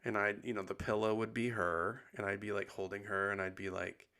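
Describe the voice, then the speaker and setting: Husky, gravelly-voiced man rambling casually. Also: on the low side, flat delivery, a little fast, pushed and bright. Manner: rambling, full of likes and ums. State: husky and tired after bronchitis. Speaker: podcast host. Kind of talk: casual confessional chat.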